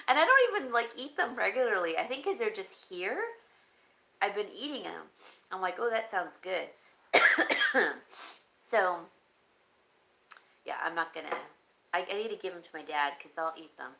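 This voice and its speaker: Chatty raspy woman with a cough. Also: medium pitch, lively intonation, measured pace, pauses often, sharp tone. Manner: rambling, chatty, reads and answers viewer comments. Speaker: home decor vlogger. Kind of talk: casual live-stream chat.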